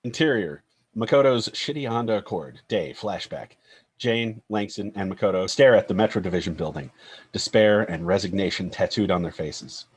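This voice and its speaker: A man's voice: low-pitched, lively intonation, normal speed, breathy edge. A man reading scripted stage directions as narrator of a screenplay table read.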